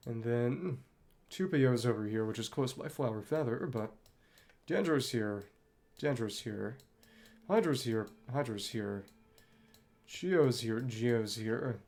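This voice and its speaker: A man's voice: medium pitch, a little mellow. A man doing live gameplay commentary as a streamer, thinking aloud in an understated, wry, musing way. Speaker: video game streamer. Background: game music.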